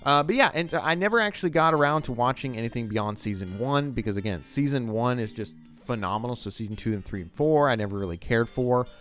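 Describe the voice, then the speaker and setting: A man's voice: mid-range voice, animated, fast talker, few pauses, a bit bright, soft background music. A man giving enthusiastic, rambling commentary on a TV scene as the host of a video essay.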